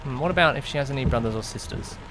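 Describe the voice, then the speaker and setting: A man's voice: rapid-fire, lightly acted. A man playing an awkward, easily flustered young driver who narrates an audio drama in the first person, musing conversationally to himself. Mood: musing, uneasy. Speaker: narrator and lead character. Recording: noisy, rain and car rumble behind. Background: rain and vehicle sounds.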